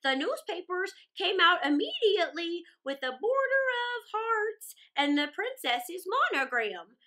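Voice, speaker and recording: A middle-aged woman reading a fairy tale aloud to children in a deliberate, theatrical way. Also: very high-pitched, very animated, bright, forceful tone. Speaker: children's storyteller.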